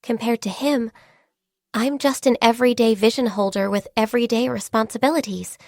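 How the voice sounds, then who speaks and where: Actress as shy young female game character, diligent secretary, gentle, polite, earnest, high-pitched, dry recording. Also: fairly even intonation, fairly quick, no room sound, natural voice. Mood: earnest, formal. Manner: polite, earnest, hesitant when flustered. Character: gentle, diligent, shy half-beast secretary, youthful woman. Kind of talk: video game character voice lines.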